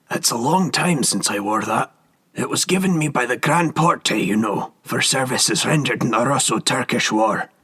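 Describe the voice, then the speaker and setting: A man voicing a frail, vain old gentleman with a thin, reedy voice, fussing and repeating himself. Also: mid-range voice, slightly rough, voice pushed up, full character voice. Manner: anxious, fussy, self-flattering repetition.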